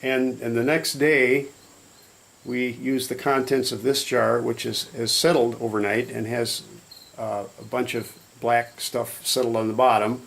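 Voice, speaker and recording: A man's voice: medium pitch, little pitch movement, unhurried, vocal fry, fairly bright tone, a little hiss or hum. A man explaining a hands-on process step by step in an informal, matter-of-fact demonstration style. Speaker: hobbyist demonstrator.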